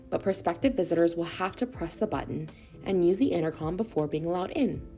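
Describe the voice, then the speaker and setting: A woman reading a scripted corporate explainer in a measured, instructional way. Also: low voice, creaky, warm tone. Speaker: narrator of a corporate product video.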